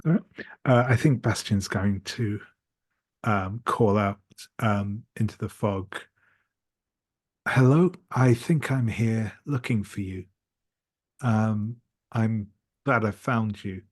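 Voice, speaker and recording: A man's voice: lowish voice, takes it slowly, pauses often, no echo. A man playing a tabletop roleplaying game, tentatively narrating and speaking for his character.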